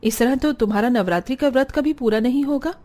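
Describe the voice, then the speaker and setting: A woman voicing a patient, reasonable woman of a family in a cartoon story, measured and gently reproving. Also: high-pitched, close to an everyday voice. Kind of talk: Hindi cartoon story dialogue.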